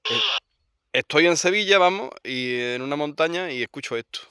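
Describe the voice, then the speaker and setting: A man's voice: on the high side, lively intonation, normal speed, bright, forceful tone, no room sound. A man casually explaining his gear as a radio hobbyist, speaking unscripted. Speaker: radio hobbyist presenting a demo. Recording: clearly noisy, radio static and clicks.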